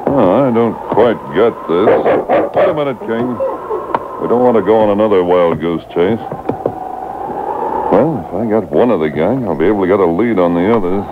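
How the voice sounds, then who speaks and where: A man's voice: moderate pace, natural voice. Super hissy tinny old radio serial, man acting as steadfast mountie sergeant giving orders to his dog. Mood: urgent. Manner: calls commands to horse and dog. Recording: noisy tinny boombox taping of AM radio.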